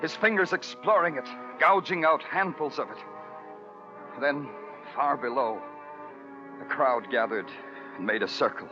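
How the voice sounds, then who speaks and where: Actor as hard-boiled radio detective, muffled vintage sound. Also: male voice, medium pitch, measured pace, pauses often, over music, lightly acted. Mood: tense narration. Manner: terse, brooding, poetic narration. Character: hard-boiled, world-weary New York police detective. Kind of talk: 1940s radio noir acting. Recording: dull vintage radio recording.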